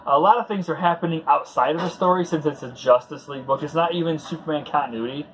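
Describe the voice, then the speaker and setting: A man's voice: on the high side, quick pace, a little hiss or hum, rough recording. A man hosting a comic book read-along, giving casual commentary in a rambling, conversational, fan-like way.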